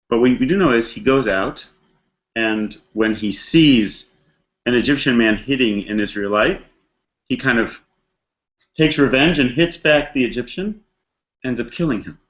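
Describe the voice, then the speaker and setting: Slightly muffled, lo-fi audio carries a man teaching a religious text. He speaks in a conversational, reflective way at an average pitch, like a storyteller working through a passage and putting questions to his listeners. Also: a little slow, long pauses. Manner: dramatizes characters' thoughts in casual modern slang. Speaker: rabbi teaching a text study class. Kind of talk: conversational scripture lecture.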